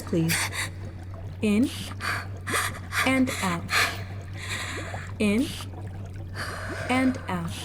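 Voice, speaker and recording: A woman's voice: on the high side, noisy background. A woman voicing a polite, helpful female android companion who speaks in formal, precise phrases. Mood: soothing. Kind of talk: audio drama acting.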